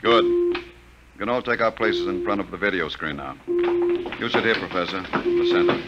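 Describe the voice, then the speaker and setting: An actor playing a brisk, authoritative army general with clipped military delivery in a 1950s radio drama. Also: male voice, low voice, heavy background noise, close to an everyday voice. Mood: brisk, commanding. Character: commanding, brisk army general running a weapons test. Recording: dull 1950s radio recording, loud music.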